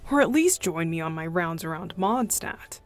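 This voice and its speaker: A woman voicing a playful, mischievous young male deacon in an unhurried, teasing ASMR roleplay style. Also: lowish voice, lively intonation, soft background music, lightly acted. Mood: reluctant, inviting. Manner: teasing, unhurried, chuckling, breathy asides.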